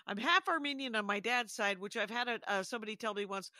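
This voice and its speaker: Bright, higher-pitched chatty woman. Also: quick pace, no room sound, clean studio sound. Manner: rambling, self-interrupting storyteller with frequent laughs. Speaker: podcast co-host. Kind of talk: casual chat.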